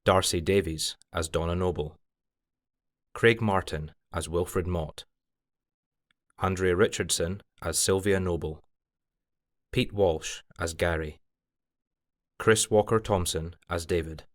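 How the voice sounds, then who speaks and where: A man with a low-pitched voice serves as announcer, reading scripted credits. He goes through them in a formal, list-like read. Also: slow pace, long pauses.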